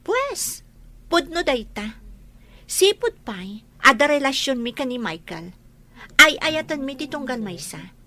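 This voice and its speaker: A woman's voice: high voice, sing-song, measured pace, pauses often, bright, forceful tone, no echo, heavily acted. A woman acting in a melodramatic radio drama as a scornful, taunting girlfriend. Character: scornful, taunting unfaithful girlfriend of an injured man.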